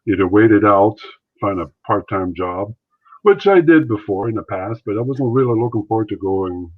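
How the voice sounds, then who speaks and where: Man, very low voice, tinny call audio, rambling casual talk. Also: expressive, normal speed, no echo. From Maritimes, Canada. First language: French. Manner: rambling, many restarts and fillers. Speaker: interview guest, former wrestler and actor. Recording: thin phone-like line, dry.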